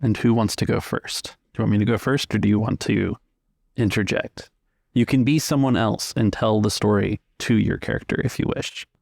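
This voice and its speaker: A man with a lowish, creaky voice casually runs a tabletop game, setting out choices for the players. The recording is dry, without room sound. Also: steady tone, moderate pace, a little mellow, no room sound. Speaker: game facilitator.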